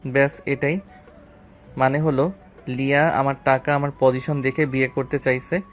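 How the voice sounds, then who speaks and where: A man's voice: medium pitch, flat delivery, normal speed, faint music behind, natural voice. A man acting a bitter young self-made businessman, a wounded lover, in a romantic audio story. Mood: defiant, accusing.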